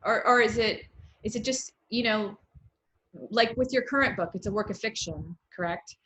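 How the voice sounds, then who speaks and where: A woman's voice: medium pitch, moderate pace, fairly bright tone, lo-fi sound. A woman hosting a casual literary interview, asking questions in an encouraging, conversational way. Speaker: interview host.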